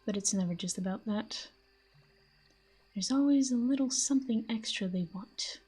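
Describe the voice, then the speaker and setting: A woman's voice: a little high, normal speed, darkish tone, breathy edge, faint music behind. A woman playing a possessive, flirtatious fantasy villain in a roleplay audio drama, teasing and smug, speaking intimately to the listener. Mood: jealous, flirtatious.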